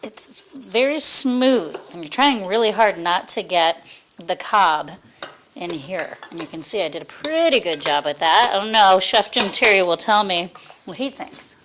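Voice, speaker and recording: A woman's voice: medium pitch, animated, unhurried, noisy background. A woman co-hosting a cooking show, chatting with bubbly enthusiasm. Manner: bubbly, enthusiastic, laughs easily. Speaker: co-host.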